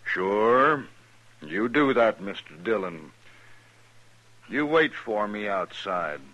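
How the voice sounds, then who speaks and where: Smug, bright-voiced western outlaw. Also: male voice, on the low side, lively intonation, slow pace, slight background noise, heavily acted, low-quality recording. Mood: smug taunting. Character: smug, taunting male outlaw gang leader in old West. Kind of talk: 1950s radio western acting. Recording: vintage 1950s radio drama recording.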